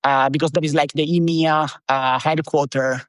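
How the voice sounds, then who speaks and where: A man's voice: a little high, steady tone, normal speed, no echo, clean studio sound. A man in his thirties from Italy with an Italian accent, chatting as a podcast guest, loose and rambling with lots of fillers. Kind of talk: casual interview chat.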